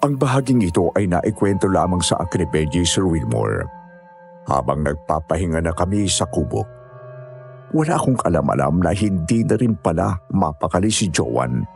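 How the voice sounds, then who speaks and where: A very low-pitched storyteller recounts a mountain ordeal in a horror audio drama, played by a man. The narration is scripted, with music softly underneath. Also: gravelly, heavily acted.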